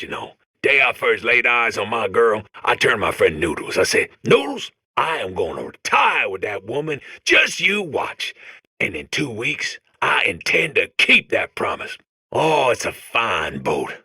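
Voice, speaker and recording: A man's voice: mid-range voice, wildly swooping pitch, sharp tone, slightly rough, no room sound, clean studio sound, exaggerated voice. A man playing a giddy, sentimental older robot worker counting down happily, folksy and gleeful, in a comedic video game. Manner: folksy, gleeful, drawn-out exclamations.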